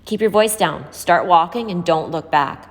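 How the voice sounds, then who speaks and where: A woman's voice: fairly low pitch, normal speed, natural voice. A woman voicing a wary, streetwise young homeless man in a story, blunt and urgent with warnings. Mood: hushed warning. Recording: hall-like reverb.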